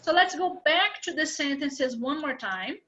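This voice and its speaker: A woman's voice: high voice, lively intonation, unhurried, sharp tone, lo-fi sound. A woman teaching an English grammar class online, explaining patiently step by step.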